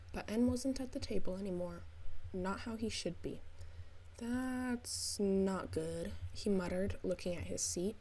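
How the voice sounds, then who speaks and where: A woman reading fan fiction as a narrator, in an expressive, wry storytelling style. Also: on the low side, a little hiss or hum, low-quality recording. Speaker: podfic narrator.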